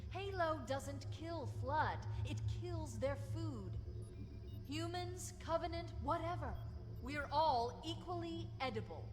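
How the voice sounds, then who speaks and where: An actress voicing a confident military AI in a video game, assertive and articulate with a sardonic streak. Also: female voice, high-pitched, lively intonation, takes it slowly, music in the background. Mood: grave, accusing. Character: sharp-witted, confident female military AI companion. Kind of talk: video game cutscene acting. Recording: reverberant hall, clear background noise.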